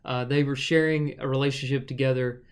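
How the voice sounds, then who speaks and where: A man teaching the Bible in a conversational, measured way, moving between explanation and reading verses aloud. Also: mid-range voice, fairly even intonation, clean tone. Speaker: Bible study podcast host and teacher.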